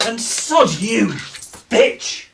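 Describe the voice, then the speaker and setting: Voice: medium pitch, big pitch swings, drawn-out words, creaky, distant mic, heavily acted. An actor playing a surly, coarse British working-class husband in a radio drama. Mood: angry, contemptuous. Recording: noisy, roomy, off-mic.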